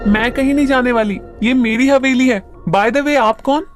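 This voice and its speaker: A woman voicing a spirited, expressive young woman in a Hindi horror cartoon, mixing in English words. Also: high-pitched, moderate pace, soft background music, natural voice. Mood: defiant, scornful. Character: spirited young woman who inherits an eerie mansion.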